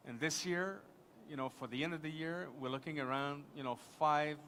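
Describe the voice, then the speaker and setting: A man speaking as an airport executive at a live conference panel, halting and restarting his sentences. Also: fairly high pitch, a bit bright, slight background noise. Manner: halting, many restarts and fillers.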